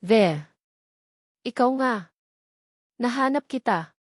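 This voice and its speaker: A woman's voice: on the high side, animated, slow pace, no room sound, pitched above the performer's own voice. Woman narrator as brooding male billionaire, earnest, few words, romantic drama, dry recording. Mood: stunned, hopeful. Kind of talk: narrated story dialogue.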